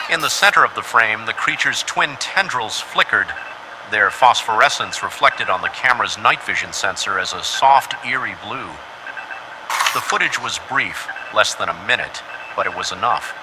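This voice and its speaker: A man's voice: fairly low pitch, background noise. A man reading a scripted first-person horror story as its narrator.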